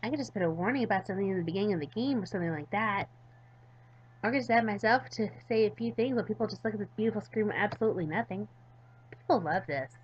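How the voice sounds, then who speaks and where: A woman voicing a goofy, excitable, ditzy teenage schoolgirl from a visual novel, rambling and dramatic. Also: medium pitch, racing, dull audio, a little hiss or hum, natural voice. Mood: dramatic, self-important.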